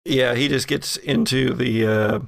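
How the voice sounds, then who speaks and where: A man narrating a tabletop role-playing game as game master, with a gravelly voice. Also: mid-range voice, steady tone, a little slow, no echo, clean studio sound.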